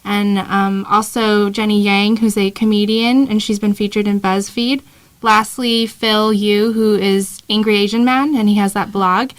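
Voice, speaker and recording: A woman's voice: mid-range voice, unhurried, low-quality recording. A young woman co-hosting a college radio talk show, speaking casually with frequent fillers. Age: young adult. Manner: relaxed, uses fillers like you know. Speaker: co-host.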